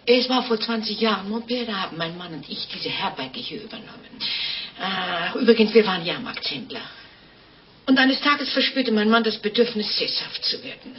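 An actress playing a sly, insistent innkeeper's wife in a radio play, speaking in a dry, probing way. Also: female voice, medium pitch, lively intonation, normal speed, lightly acted. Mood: confessing, insistent. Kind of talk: 1950s German radio play acting. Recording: muffled old radio recording, faint noise.

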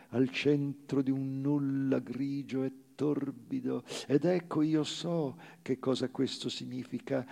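A man giving a solemn, measured dramatic recitation of literary prose on stage, with deliberate pauses. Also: medium pitch, vocal fry, a little mellow, slightly rough. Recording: live theatre recital with long hall reverb.

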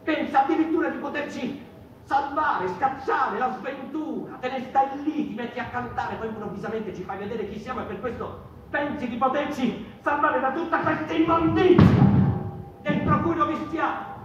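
A woman's voice: high voice, normal speed, dull audio, over music, lightly acted. A woman performing an insistent, impassioned theatrical monologue as a defiant figure from a mouse folk. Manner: insistent, repeating phrases. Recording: echoey, distant, noisy room recording.

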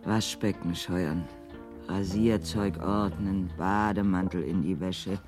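A worn-out, grumbling household carer in a radio drama. The voice is very low and very slow, muttering through repeated lists, with faint music behind it. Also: male voice, fairly even intonation. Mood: dogged listing. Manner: muttering, repetitive listing of chores and clues. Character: weary, grumbling carer doing housework and crosswords. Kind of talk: radio play monologue.

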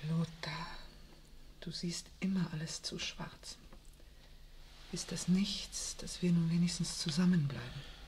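A soft-spoken hopeful young wife. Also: female voice, fairly low pitch, fairly even intonation, slow, pauses often, a little hiss or hum. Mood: whispering. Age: young adult. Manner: soft, pleading, often whispering. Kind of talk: 1960s radio drama acting.

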